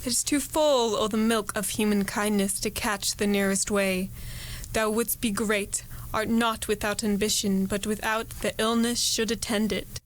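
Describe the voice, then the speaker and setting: A young woman playing an ambitious, ruthless noblewoman in a Shakespearean radio play, speaking verse deliberately and dramatically. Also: mid-range voice, steady tone, lightly acted, low-quality recording. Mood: scheming resolve. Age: young adult. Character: ambitious, ruthless noblewoman invoking dark spirits.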